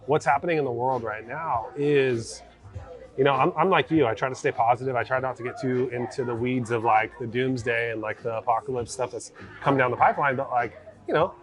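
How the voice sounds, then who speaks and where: An American man chatting casually as a podcast guest, informal, often peppering his talk with like and right. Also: medium pitch. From born in Egypt, grew up in America. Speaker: podcast guest, former mortgage broker. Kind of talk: casual chat.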